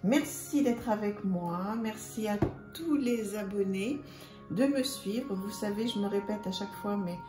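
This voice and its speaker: A woman's voice: mid-range voice, measured pace. A woman hosting her tarot channel, showing a deck in a casual, chatty way with many fillers and repeated words. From France. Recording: echoey room with music behind. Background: soft music.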